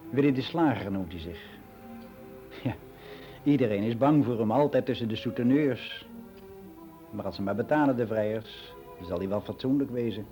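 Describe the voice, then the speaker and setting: An actor plays a world-weary middle-aged man in a radio drama monologue. His voice is dark and relaxed, of ordinary male pitch. He rambles on frankly and matter-of-factly, like someone sharing gossip in private, with some music in the recording. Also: close to an everyday voice. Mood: chatty, rambling. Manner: rambling, confiding, matter-of-fact.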